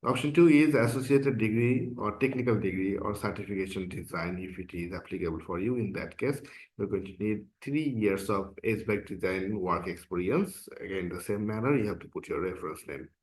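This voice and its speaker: A man's voice: lowish voice, fairly quick, low-quality recording. A man from Bangladesh, a non-native speaker of English whose first language is Bangla, casually explaining an application form step by step as an instructor. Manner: casual and explanatory, frequent "uh" and "okay". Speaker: course instructor. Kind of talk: screen-recorded tutorial walkthrough.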